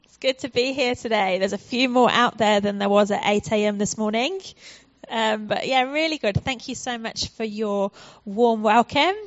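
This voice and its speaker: A woman's voice: fairly high pitch, normal speed, no echo, muffled recording. A woman from the United Kingdom with a British accent, a charity guest speaker giving a friendly, earnest church talk with frequent ums.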